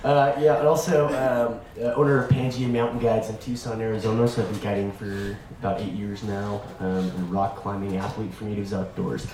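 A man's voice: fairly low pitch, level tone, a little fast, few pauses, background noise. A man introducing himself on a panel in a friendly, casual way with many fillers. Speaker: climbing guide, panelist. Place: event venue with audience. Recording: echoey room, mic at a distance.

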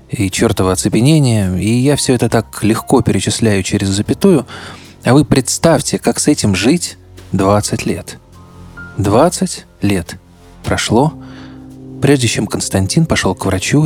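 A man's voice: mid-range voice, darkish tone. A man hosting a podcast with scripted narration that speaks straight to the listener. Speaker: podcast host.

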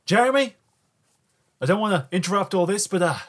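A man's voice: sits high, animated, a little fast, pitched above the performer's own voice. A man voicing a stubborn, pushy man with a pompous, earnest way of talking. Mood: pompous, challenging. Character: stubborn, pushy man, Ernest, spouting muddled challenges.

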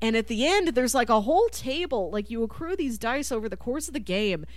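A woman chatting casually as a podcast co-host about media, animated, often acting out imagined reactions and laughing through her words. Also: on the high side, fairly quick, no room sound. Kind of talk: casual film discussion.

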